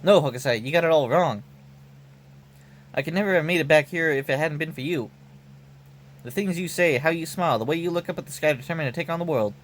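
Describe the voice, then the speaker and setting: A man's voice: a little high, fast, pauses often, a little hiss or hum, lightly acted. A man voicing a legendary female swordmaster in game dialogue, speaking in a frank, earnest, folksy way. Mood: earnest, encouraging. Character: legendary swordswoman, cheerful, frank, encouraging gunslinger. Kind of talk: game dialogue read aloud. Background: background music.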